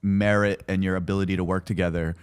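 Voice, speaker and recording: A man's voice: deep voice, little pitch movement, quick pace, smooth. A man chatting casually and informally as a podcast guest, restarting his phrases as he goes. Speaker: podcast guest, business partner. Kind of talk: casual chat.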